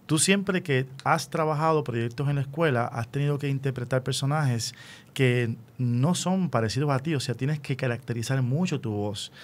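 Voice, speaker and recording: A man's voice: medium pitch, normal speed. A man conducting a conversational radio interview in an encouraging, affirming way.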